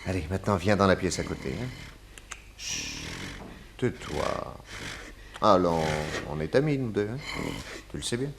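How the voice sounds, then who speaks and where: An actor playing a calm, methodical male detective in a radio serial, reassuring and measured as he explains. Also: deep voice, vocal fry, noisy background, full character voice. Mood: soothing.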